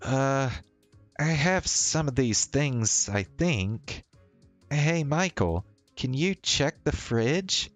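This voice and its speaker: Man voicing an upbeat young woman, lightly pitched up. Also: expressive, extremely slow, soft background music. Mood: casual. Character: upbeat, casual young woman keen on cooking.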